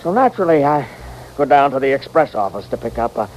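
An actor playing a hot-tempered, put-upon American saloon keeper in an old-time radio western, blustering in a folksy, exasperated drawl. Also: male voice, medium pitch, very animated, fast talker, darkish tone, natural voice, poor audio. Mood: aggrieved. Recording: vintage radio recording with hiss.

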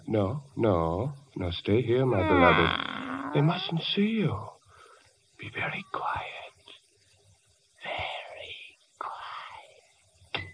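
An actor playing a nervous, guilt-ridden husband hiding a terrible secret in a mid-century radio suspense drama, halting and repeating his words. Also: on the low side. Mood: tender, desperate. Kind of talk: 1940s-50s old-time radio suspense acting. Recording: dull old radio broadcast recording.

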